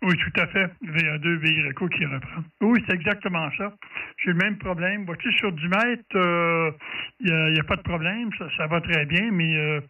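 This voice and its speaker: A man with a Quebec French accent and a fairly high voice talks casually as an amateur radio operator. He speaks haltingly, feeling for words. The sound is narrow and tinny, as if picked up away from the microphone. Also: sharp tone, distant mic. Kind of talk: casual ham radio chat.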